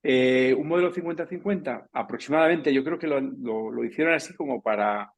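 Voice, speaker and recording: A man's voice: mid-range voice, a little fast. A man speaking as a panelist in a professional round-table discussion, talking in a loose, discursive way and often checking in with the listener. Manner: discursive, tags points with "¿no?" and "¿vale?".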